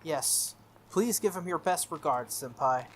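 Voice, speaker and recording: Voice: medium pitch, animated, unhurried, slight background noise, lightly acted. A game player voicing a shy, polite schoolgirl from a visual novel, hesitant and apologetic. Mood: timid, polite.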